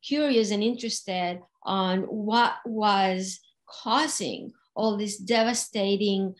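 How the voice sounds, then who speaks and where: A woman in her forties, from Venezuela, answering interview questions slowly and thoughtfully on a video call from a room at home. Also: medium pitch. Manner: slow, thoughtful, with fillers. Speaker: guest, crypto fund founder. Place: home room with window, indoors.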